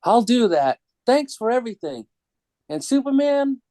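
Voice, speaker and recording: A man's voice: very high voice, sing-song, normal speed, warm tone, dry recording, voice pushed up. Man as young boy, eager, grateful, earnest, bouncy intonation, close dry sound. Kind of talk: dramatic comic book table read.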